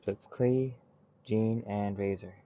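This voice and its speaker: A man giving casual gameplay commentary, haltingly reading text aloud and muttering to himself. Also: on the low side, fairly even intonation, lo-fi sound, faint music behind. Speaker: gaming streamer. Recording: faint background noise.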